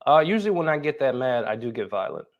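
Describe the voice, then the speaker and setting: Boastful American man, medium pitch. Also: big pitch swings, fast talker, phone-quality sound. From North Philadelphia and Brooklyn, United States. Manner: boastful, profane. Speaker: interview host. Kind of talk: casual conversation.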